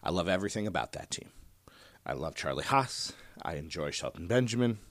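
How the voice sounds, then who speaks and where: Deep, soothing male podcast host. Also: brisk, no room sound. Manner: relaxed, digressive, frequent "uh" and "um". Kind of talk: casual solo podcast talk.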